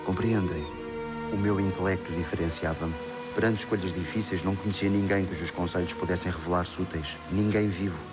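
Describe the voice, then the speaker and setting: A man's voice: low voice, fairly even intonation, brisk, over music, lightly acted. A man with a European Portuguese accent playing a serene, grandiose genius tycoon in a comic drama, speaking formally and eloquently. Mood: reflective. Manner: formal, self-satisfied, eloquent monologue.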